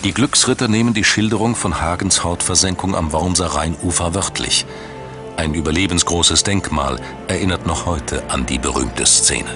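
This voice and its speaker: A man's voice: low-pitched, moderate pace. A man reading scripted documentary narration as a studio voice-over. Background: music.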